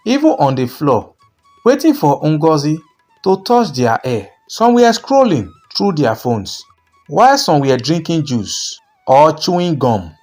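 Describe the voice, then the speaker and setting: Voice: mid-range voice, darkish tone. A narrator telling a scripted folktale in a dramatic storytelling style.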